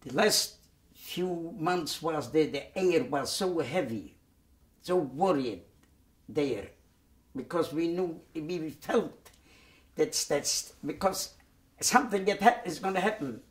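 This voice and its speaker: A man, giving oral history testimony in a halting way, with many repeated words and restarts. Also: a little high, lively intonation. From Poland. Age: 90s. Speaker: Holocaust survivor giving testimony. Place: dark studio set, indoors.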